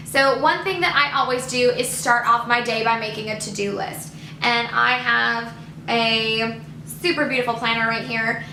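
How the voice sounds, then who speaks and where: A woman's voice: fairly high pitch, gravelly, pushed and bright, echoey room, slight background noise, rough recording. A woman in her twenties, a middle school teacher, chatting expressively to camera in her living room. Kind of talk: casual vlog to camera. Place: living room by a fireplace, indoors.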